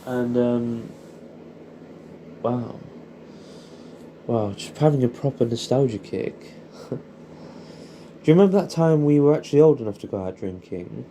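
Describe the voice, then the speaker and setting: English man with a bright, chatty voice. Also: mid-range voice, a little slow, pauses often, a little hiss or hum. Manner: rambling, sweary, matey. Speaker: audio storyteller addressing an old friend. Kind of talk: casual intimate monologue, reminiscing.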